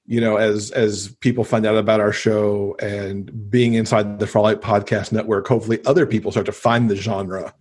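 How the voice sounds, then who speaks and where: A man's voice: lowish voice, level tone, normal speed, few pauses, a little mellow, slightly rough. A man talking casually as a guest in an interview, chatty and enthusiastic about books. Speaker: podcast guest; podcast host and author.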